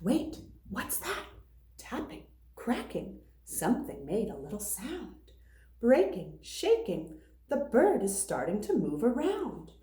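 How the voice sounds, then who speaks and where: A woman teacher reading a picture book aloud to young children in an expressive, deliberate way. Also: mid-range voice. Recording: roomy, echoing sound.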